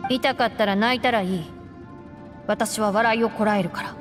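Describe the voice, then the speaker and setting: An actress playing a composed young woman deputy who speaks in a formal, deadpan way. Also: medium pitch, moderate pace, natural voice. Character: calm, dry young woman gang deputy. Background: game music.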